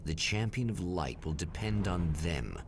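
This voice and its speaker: Deep, slow, ominous show narrator. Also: male voice, lively intonation, noisy background. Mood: ominous, portentous. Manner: portentous, measured, theatrical. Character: ominous, wry male narrator of an eerie anthology TV show. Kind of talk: scripted dramatic TV narration in Twilight Zone manner. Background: music.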